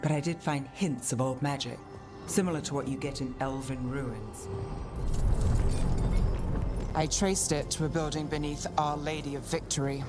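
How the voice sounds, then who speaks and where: A woman's voice: low voice, normal speed, pauses often, close to an everyday voice. A woman playing a shrewd, unruffled detective in a fantasy video game, with a cool, dry manner. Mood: cool, amused. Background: loud dramatic music.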